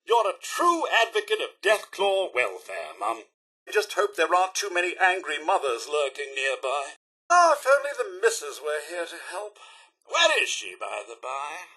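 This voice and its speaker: A man with a British accent voicing a fussy, courteous robot butler in a video game, with a raspy edge and florid, droll delivery. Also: on the high side, huge pitch swings, moderate pace, pushed and bright, heavily acted. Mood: droll, musing. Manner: pompous, florid, theatrically courteous. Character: polite, fussy male robot butler, droll and long-suffering. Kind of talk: video game character voice lines.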